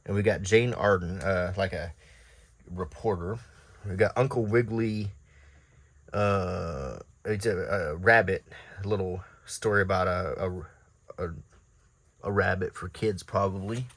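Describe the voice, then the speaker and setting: Male host, lowish voice, browsing print aloud with offhand commentary, very dry intimate sound. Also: a little slow, long pauses, fairly bright tone, breathy edge, no echo. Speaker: host reading an old newspaper. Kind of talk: unintentional ASMR read-aloud with casual commentary.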